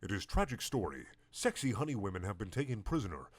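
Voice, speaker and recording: A man's voice: lowish voice, very animated, fast, no echo. A man voicing an earnest male alien warrior, speaking in stiff, broken English.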